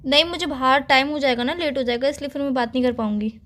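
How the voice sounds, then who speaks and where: A woman's voice: high-pitched, fast talker, a bit bright. A young woman playing a busy, impatient girlfriend in an everyday phone-call drama, defensive and insistent. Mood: hurried, distracted. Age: young adult.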